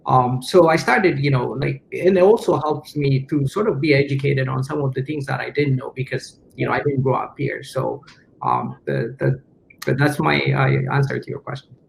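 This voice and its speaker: A man from Ethiopia speaking as a panelist in a relaxed discussion, hesitant and full of fillers and restarts. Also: mid-range voice, normal speed, creaky, slight background noise, low-quality recording.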